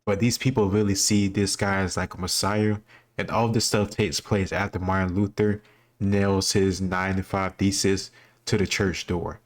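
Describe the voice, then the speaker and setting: A man's voice: low-pitched, level tone, normal speed. A man speaking informally as a host, reacting casually and thinking aloud as he answers quiz questions.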